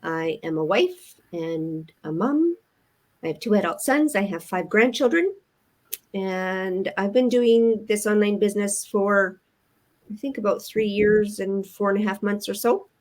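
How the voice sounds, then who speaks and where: An older woman from Canada, a retired nurse with grandchildren, telling her story on a live chat in an earnest, thoughtful way with pauses. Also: mid-range voice. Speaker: online business owner, co-host. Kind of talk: casual chat.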